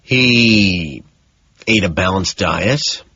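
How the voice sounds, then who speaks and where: A man voicing a nervous, evasive, wealthy businessman of twenty-seven in audiobook dialogue, speaking haltingly. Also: low-pitched, lively intonation, sharp tone, breathy edge, no echo, muffled recording, exaggerated voice. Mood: uneasy.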